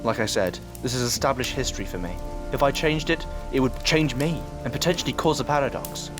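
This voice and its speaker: A man's voice: mid-range voice, expressive, fairly quick, music in the background, natural voice. A man playing a brooding, analytical time-travelling alien in an audio drama, explaining things in a formal, commanding way. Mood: measured, explaining.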